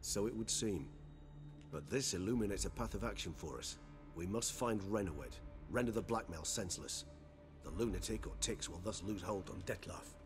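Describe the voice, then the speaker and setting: A calm, erudite video game gentleman. He has a low voice and chooses his words formally and precisely. Music is clearly audible behind him. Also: moderate pace. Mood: calm, resolute. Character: refined, calm, erudite male gentleman and loyal friend. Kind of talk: video game cutscene acting.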